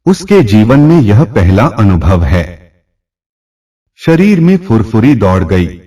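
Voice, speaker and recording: A man's voice: lowish voice, sing-song, takes it slowly, warm tone, slightly rough, recorded from a distance. A man narrating a scripted short story as a storyteller, recorded a little away from the mic.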